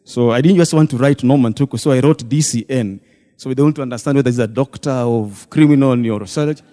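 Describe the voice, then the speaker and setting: Deacon with a mellow voice in a reverberant church hall. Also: male voice, mid-range voice, quick pace. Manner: storytelling, acting out quoted dialogue. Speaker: deacon addressing a church congregation. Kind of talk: church testimony retelling a meeting.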